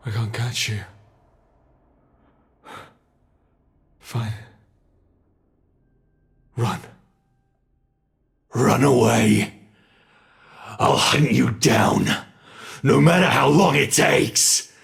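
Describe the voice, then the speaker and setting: A man's voice: medium pitch, very slow, creaky, long pauses, full character voice. A man with a British accent playing a predatory male monster from under the bed in an ASMR horror roleplay, taunting his prey with playful menace. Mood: taunting chase.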